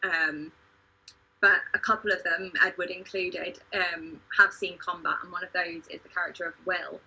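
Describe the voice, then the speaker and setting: A woman hosting a livestream discussion in an earnest, measured way. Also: fairly low pitch, steady tone, bright, forceful tone, husky, rough recording. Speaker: podcast host, theatre company member.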